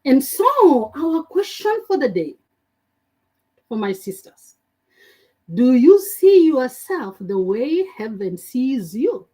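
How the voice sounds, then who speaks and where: A woman hosting a faith-based conversation, opening in an unhurried, inviting way. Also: high-pitched, very animated, warm tone, breathy edge. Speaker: host.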